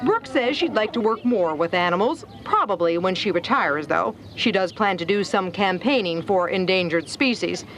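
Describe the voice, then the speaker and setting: A woman's voice: mid-range voice, lively intonation, normal speed, few pauses, fairly bright tone. A woman with an American accent narrating a feature news report in a playful, wry way.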